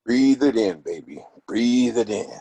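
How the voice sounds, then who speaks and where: Man acting mellow husky guy in a comedy script read, bit low. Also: lively intonation, measured pace. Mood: sultry. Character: male characters: sultry lover, serious boss.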